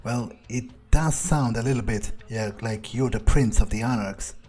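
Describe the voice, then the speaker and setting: A man's voice: medium pitch, moderate pace, a little mellow. A man role-playing as a vampire in a game playthrough, thinking aloud in a hesitant, sometimes profane way. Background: faint game music.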